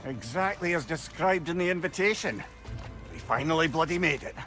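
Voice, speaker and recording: High, lilting companion, wry. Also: male voice, expressive, moderate pace. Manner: wry, talkative, teasing. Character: wry, chatty, loyal older male companion, gently needling. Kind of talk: video game voice acting. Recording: loud game music under the voice.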